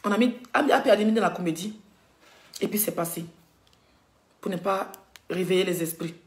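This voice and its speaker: A woman's voice: medium pitch, normal speed, a little mellow. A woman blogger ranting indignantly on a live stream, coughing with a cold and addressing her viewers directly. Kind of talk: heated rant on a live stream. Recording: echoey room.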